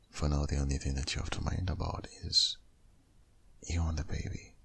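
Man acting very deep, gravelly, breathy protective boyfriend. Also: a bit bright, exaggerated voice. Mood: exasperated, concerned. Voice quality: very deep, gravelly, husky, often half-whispered. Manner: insistent, intimate, pleading. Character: protective, worried boyfriend of a pregnant woman. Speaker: audio roleplay performer. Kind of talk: boyfriend ASMR roleplay, close and breathy.

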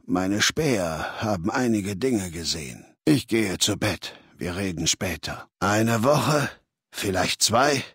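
An actor playing a commanding, arrogant warlord in a video game, speaking in a measured, authoritative and condescending way. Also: male voice, lowish voice, a bit bright, slightly rough, dry recording, studio quality, exaggerated voice. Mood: weary. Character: commanding, arrogant male warlord, cold and imperious, ailing. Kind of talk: acted video game dialogue.

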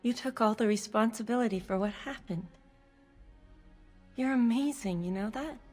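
An actress playing a warm, resilient young elf woman in a video game, heartfelt and sincere. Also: on the high side, normal speed, faint music behind, lightly acted. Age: young adult.

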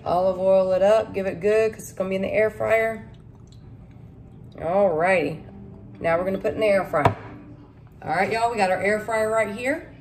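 A woman's voice: medium pitch, moderate pace, long pauses, faint music behind. A woman, talking viewers through a recipe in a casual, folksy way in her home kitchen. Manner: chatty, folksy, addresses viewers as y'all. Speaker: home cooking vlogger. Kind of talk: casual cook-along talk to camera.

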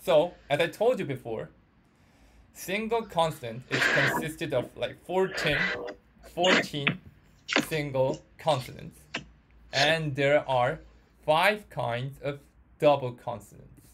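A male Korean teacher with a Korean accent leads an online lesson. His voice sits a little high, and he speaks non-native English haltingly, often repeating words as he explains. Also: a bit bright, slight background noise. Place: online group audio call.

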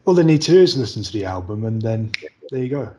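British male interviewer, thirties, lowish voice, informal questioning over a video call. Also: very animated, fast talker, warm tone, a little hiss or hum. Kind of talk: casual interview. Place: room indoors on a video call.

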